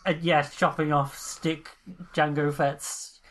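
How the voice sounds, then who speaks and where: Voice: mid-range voice, little pitch movement, slow, fairly bright tone. A podcast co-host in casual chat who swears freely, laughs mid-sentence and often trails off and restarts.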